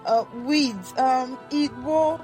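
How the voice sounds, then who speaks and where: A woman's voice: high voice, slow pace, darkish tone, soft background music, voice pushed up. A woman voicing a worn-out Nigerian immigrant mother in a story, pleading and exasperated. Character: weary, anguished Nigerian immigrant mother of a teenage son.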